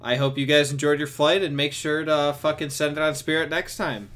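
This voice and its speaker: A young man chatting and telling stories casually on a voice chat, in an animated, exasperated, sweary way. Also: on the high side, fairly quick. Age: young adult. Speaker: streamer on a group voice chat. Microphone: computer mic on a voice chat. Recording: noisy voice chat with clicks.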